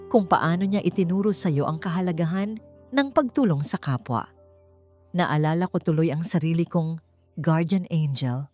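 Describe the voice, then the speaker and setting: A woman narrator plays a dignified, wounded young Filipino man in a dramatic story. The voice is low and dark-toned, speaking with solemn, deliberate earnestness over faint music. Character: dignified young male architect, wounded and devoted grandson.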